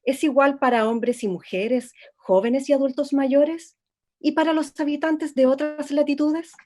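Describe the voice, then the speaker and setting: An actress playing a female documentary reporter, reading scripted narration in a measured, informative way. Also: sits high, steady tone, a little mellow.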